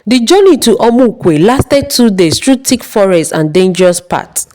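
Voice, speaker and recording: A woman narrating a dramatic folktale in a solemn, suspenseful and measured way. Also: mid-range voice, animated, warm tone. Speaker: storyteller.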